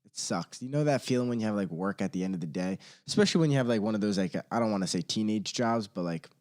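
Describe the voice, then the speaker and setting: A young man hosting his own podcast, chatting casually in a rambling, slangy way, a little hoarse and tired. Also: on the low side, lively intonation, quick pace, a little mellow. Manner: rambling, laid-back, slangy. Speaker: podcast host. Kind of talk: casual solo podcast chat. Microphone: studio microphone.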